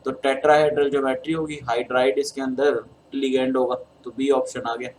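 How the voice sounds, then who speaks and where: A man's voice: mid-range voice, level tone, fairly quick, darkish tone, slight background noise, rough recording. A man with a Hindi accent and a sore throat, teaching chemistry as he works through an exam paper step by step and calling his students beta. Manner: addresses students as beta, talks through steps. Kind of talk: exam solution lecture.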